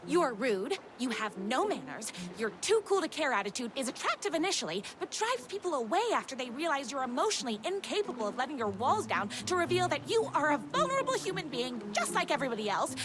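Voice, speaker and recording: A woman's voice: high-pitched, big pitch swings, fast, hardly any pauses, fairly bright tone, slight background noise. A woman playing a feisty, lovestruck fan turned heroine in a game, acting and narrating with earnest, indignant melodrama. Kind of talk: hammy game acting with first-person story narration.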